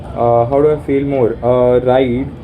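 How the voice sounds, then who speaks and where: A young man with a deep voice rambling through his plans aloud, halting and swearing casually, recorded on his phone while sitting in a room corner. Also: flat delivery, phone-quality sound, faint music behind. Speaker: vlogger. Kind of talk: rambling self-talk planning.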